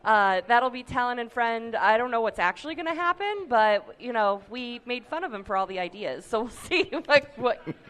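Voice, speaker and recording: A woman hosting a casual livestream chat, upbeat and quick to laugh, with a slightly tired voice. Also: on the high side, animated, a bit bright. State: tired voice, a little deep. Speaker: host.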